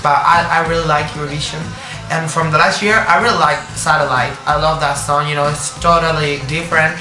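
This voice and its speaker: Young Spanish singer, man, accented English, gropes for words; echoey room, music in the background. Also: fairly high pitch. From Spain. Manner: halting, searching for words in English, frequent restarts. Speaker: singer, interview guest. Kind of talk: casual interview answers.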